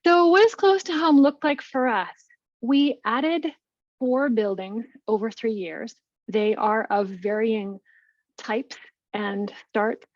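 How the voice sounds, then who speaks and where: A woman who manages a seniors network, presenting her program in a webinar with lively energy and some restarts. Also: a little high, slow, no room sound, highs cut off. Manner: energetic, talks through slides with restarts. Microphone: video call.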